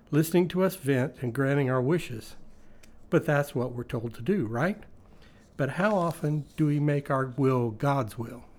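A man's voice: mid-range voice, darkish tone. A man reading a scripted devotional in a reflective way, often posing rhetorical questions. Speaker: devotional reader.

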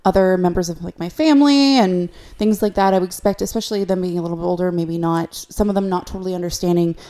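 A young woman with a fairly low voice and a very relaxed, mellow tone. She talks casually as a podcast co-host, in everyday conversational chat. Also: fast.

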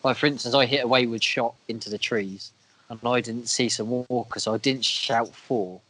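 A man with a croaky voice from a cold, co-hosting a podcast in casual, self-deprecating chat. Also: mid-range voice, moderate pace, sharp tone, rough recording. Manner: chatty, self-deprecating, a bit crude. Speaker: podcast co-host.

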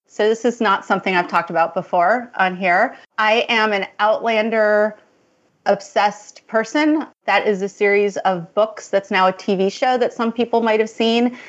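A woman podcast guest talking conversationally about herself, on a slightly lo-fi recording. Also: mid-range voice. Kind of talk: casual conversational self-introduction. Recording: slightly dull, lo-fi sound.

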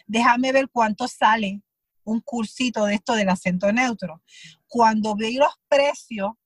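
A Puerto Rican woman with a high voice tells her stories with animation. She slips into little acted quotes of her own thoughts. The sound is close and dry, with no room around it. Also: a little slow, no echo. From Puerto Rico. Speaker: actress, guest. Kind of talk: conversational interview.

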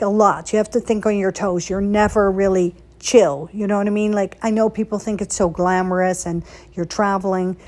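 Casting: woman, medium pitch, conversational social media storyteller with an emphatic, punchy delivery. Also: darkish tone. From Netherlands. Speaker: boutique owner hosting a solo social media chat. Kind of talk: casual chat, personal storytelling.

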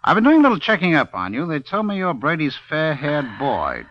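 An actor playing a smooth, menacing gangster boss in an old-time radio crime drama, brusque, sardonic and sure of himself. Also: male voice, fairly high pitch, huge pitch swings, fairly quick, dry recording. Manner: brusque, sardonic, self-assured. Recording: dull old radio recording.

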